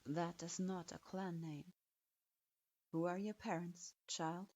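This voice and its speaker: A woman voicing a calm, formal adult man with a detached, measured way of speaking, in a storybook reading. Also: lowish voice, pitched below the performer's own voice. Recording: dull lo-fi recording.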